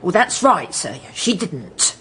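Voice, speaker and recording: A woman's voice: on the low side, very animated, slow pace, a bit bright, heavily acted. A woman playing a plain-spoken, deferential British working woman, hesitant and colloquial as a witness in a radio drama. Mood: flustered.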